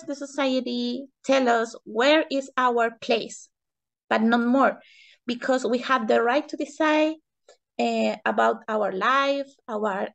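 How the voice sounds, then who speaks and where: Slow, halting Chilean woman with creaky voice. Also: on the high side, a bit bright. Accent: Chilean Spanish. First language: Spanish. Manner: halting, searching for words in simple English. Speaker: interview guest, economist and feminist. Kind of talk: interview answers in non-native English.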